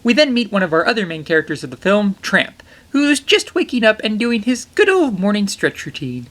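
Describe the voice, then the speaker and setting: A young man reviews an old animated film with jokey, enthusiastic asides, his pitch bouncing up and down as he talks. He sits in a small poster-covered bedroom, talking toward a webcam. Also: a little fast. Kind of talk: comedic film review narration.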